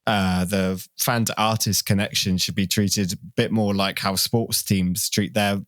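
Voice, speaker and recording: Dry-sounding man, slightly low voice. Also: little pitch movement, unhurried, a bit bright, no room sound. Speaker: podcast interviewer. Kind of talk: casual interview chat.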